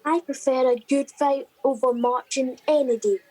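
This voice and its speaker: High-voiced, brawling soldier played by a woman. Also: steady tone, moderate pace, dark tone, poor audio. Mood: matter-of-fact. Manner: bickering, blustering. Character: brawling, boastful soldier named Eloise. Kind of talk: comedy radio play dialogue.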